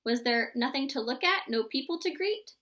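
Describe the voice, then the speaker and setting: A woman's voice: high voice, expressive, moderate pace, fairly bright tone, slight background noise. Woman reading as stern, skeptical storybook father. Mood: calm, questioning. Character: stern, skeptical father questioning his son.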